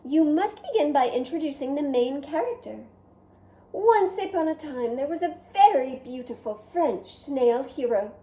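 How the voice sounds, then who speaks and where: A woman's voice: sits high, lively intonation, normal speed, warm tone. A woman voicing a vain, dramatic male French snail who speaks theatrically, admires himself and talks straight to the listener. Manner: theatrical, self-admiring, addresses listener directly. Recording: roomy echo.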